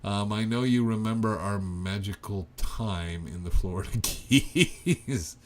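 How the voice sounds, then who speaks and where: A man's voice: lowish voice, slow, a little hiss or hum. A middle-aged man hosting a livestream, chatting casually and reading out viewer comments in a rambling, self-interrupting way. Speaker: livestream host.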